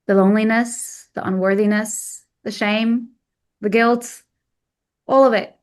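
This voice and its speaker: A woman's voice: on the high side, fairly even intonation, a little slow, smooth. Arabic-speaking woman recounting her past. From Egypt. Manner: open and reflective, tells painful memories plainly. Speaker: guest, weight loss coach. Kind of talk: candid personal storytelling.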